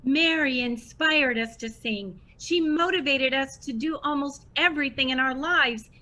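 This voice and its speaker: A woman's voice: high-pitched, sharp tone, poor audio. A woman playing an earnest, self-important superfan biographer who speaks in a formal way.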